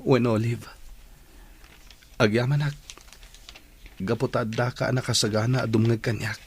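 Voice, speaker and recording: A man's voice: medium pitch, steady tone, normal speed, natural voice. Man acting solemn young student, faint noise. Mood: heartfelt resolve. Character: young male student, grateful, heartsore, determined. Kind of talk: radio drama acting.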